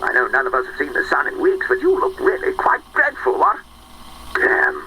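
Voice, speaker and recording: Casting: pompous British gentleman officer, piercingly bright voice, wildly swooping, theatrical game acting. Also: medium pitch, wildly swooping pitch, moderate pace, slight background noise, full character voice, poor audio. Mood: alarmed. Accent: upper-class British. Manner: theatrical, blustering, exclamatory. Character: pompous old-fashioned British gentleman officer, blustering and alarmed. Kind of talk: dramatic game audio log acting.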